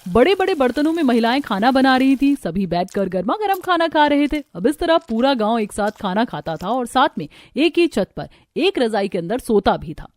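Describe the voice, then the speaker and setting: A woman reading a scripted story as the narrator of an animated cartoon. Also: a little high, normal speed, few pauses, no echo.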